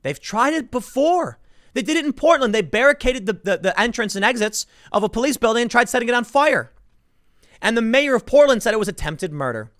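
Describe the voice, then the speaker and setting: A man's voice: very high-pitched, animated, a little fast, a bit bright, dry recording, clean studio sound. A man giving impassioned solo political commentary as a host, emphatic and indignant, repeating phrases for stress.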